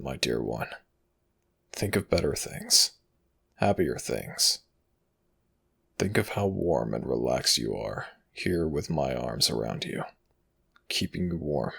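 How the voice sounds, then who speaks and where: A man voicing an ancient Egyptian death god in an ASMR roleplay, tender and protective but quietly vengeful, murmuring slowly and formally with a gravelly, breathy rasp. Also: extremely low pitch, exaggerated voice. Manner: slow, hushed, formal archaic phrasing. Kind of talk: ASMR audio roleplay, intimate murmured acting.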